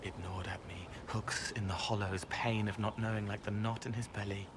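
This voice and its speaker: An actor voicing a gentle, haunted young spirit man in a video game, hushed and halting. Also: lowish voice, flat delivery, lightly acted. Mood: pained, hushed. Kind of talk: video game character acting. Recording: clearly noisy ambient background.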